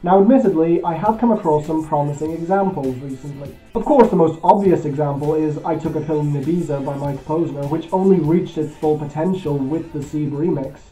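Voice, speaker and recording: A man from Belgium hosting a music show, giving an opinionated scripted album review with animated emphasis. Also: fairly high pitch, fairly quick, hardly any pauses, low-quality recording.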